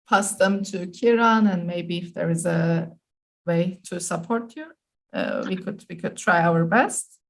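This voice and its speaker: Turkish lady moderating an online session, polite, kinda hesitant phrasing, mid-range voice. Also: medium pitch. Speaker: webinar host and moderator. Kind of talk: hosting an online webinar, semi-formal.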